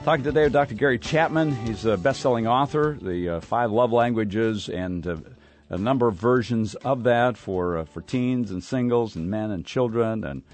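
A man hosting a radio interview, talking in a halting way with frequent fillers. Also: lowish voice, darkish tone, faint music behind. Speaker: radio host.

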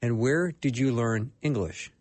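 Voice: medium pitch, measured pace, a little mellow, no echo. A radio host asking playful, emotional questions during a live interview.

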